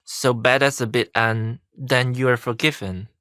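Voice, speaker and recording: An opinionated young man played by an actor talks in a medium-pitched voice in scripted audio drama dialogue. The recording is very dry and free of room echo. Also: steady tone, measured pace, sharp tone. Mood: opinionated, defensive. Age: young adult. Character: opinionated young man, proud of his dad.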